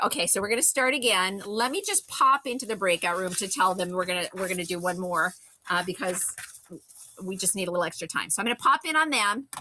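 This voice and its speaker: A woman's voice: medium pitch, sharp tone, low-quality recording. A woman drama instructor giving casual, effusive, encouraging notes to her cast.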